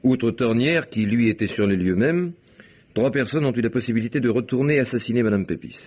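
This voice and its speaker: An actor playing a shrewd, wry police commissioner in an old radio drama, measured and ironic. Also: male voice, mid-range voice, animated, darkish tone, close to an everyday voice. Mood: reasoning. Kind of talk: 1950s French radio drama acting. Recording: dull old radio recording.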